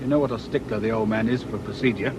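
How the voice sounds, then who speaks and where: An actor playing a shrewd British police inspector in a radio drama, with a dry, colloquial, knowing manner. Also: male voice, fairly even intonation, fast, lightly acted. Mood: dry, explanatory. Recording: noisy outdoor ambience, vehicle rumble.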